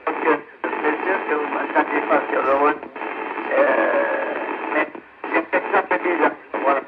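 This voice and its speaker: A man's voice: a little high, a little slow. A man in casual amateur radio chat, his voice coming over a two-way radio. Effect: two-way radio transmission. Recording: weak, very noisy narrowband radio.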